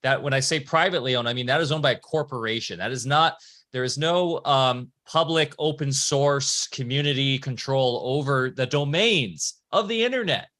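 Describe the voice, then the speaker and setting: A man's voice: mid-range voice, normal speed, bright, forceful tone. A man hosting a podcast, talking casually and rambling, often restarting and correcting himself mid-sentence. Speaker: podcast host. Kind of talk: casual conversation.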